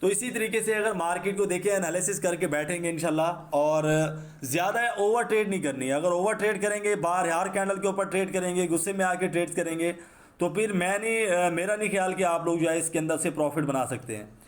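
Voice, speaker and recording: A man's voice: high-pitched, a little fast. A man with a Pakistani accent and a sore throat, teaching in an unscripted, repetitive screen-share tutorial style and mixing in English terms. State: sore throat, clearing it now and then. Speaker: trading instructor.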